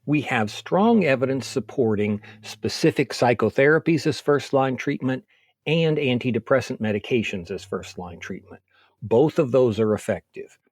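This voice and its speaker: A man speaking as a medical expert in an interview, explaining clinical guidance in a measured, methodical way. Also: mid-range voice, animated, no room sound, clean studio sound.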